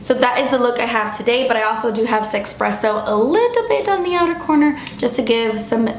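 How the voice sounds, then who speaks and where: A woman's voice: a little high, animated, fairly quick, roomy sound, slight background noise. A young woman reviewing makeup in a chatty, gushing way, losing her voice as she gets sick. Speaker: beauty vlogger. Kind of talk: casual product review.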